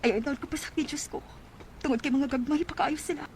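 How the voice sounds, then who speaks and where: A woman's voice: sits high, brisk, over music, close to an everyday voice. A woman playing a poor, overburdened mother fed up with her irresponsible husband, acting in a Filipino radio drama with a Cebuano accent. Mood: weary, hopeful.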